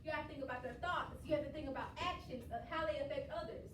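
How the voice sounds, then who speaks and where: A woman teacher with a high voice, talking a lot and laughing often as she leads a classroom lesson. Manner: talkative, quick, laughs often. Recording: echoey classroom from far off, noisy.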